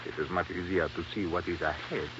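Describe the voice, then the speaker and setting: An actor playing a philosophical, evasive police inspector with a measured, insinuating manner in an old radio drama. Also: male voice, sits low, fairly even intonation, natural voice. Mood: sly, cautious. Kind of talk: 1950s radio drama acting. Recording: muffled, noisy old radio recording.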